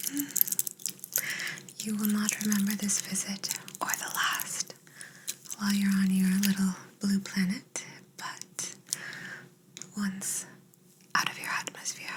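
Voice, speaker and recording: A woman playing a polite, soothing female alien abductor in a whispered ASMR roleplay, gently reassuring and clinical in manner. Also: medium pitch, natural voice, poor audio. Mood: reassuring, apologetic. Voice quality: breathy unvoiced whisper.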